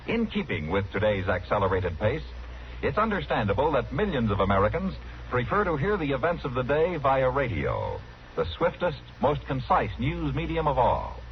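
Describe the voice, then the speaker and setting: A man reading a scripted mid-century radio promotion as a formal, polished network announcer. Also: medium pitch. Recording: dull, slightly noisy vintage radio broadcast.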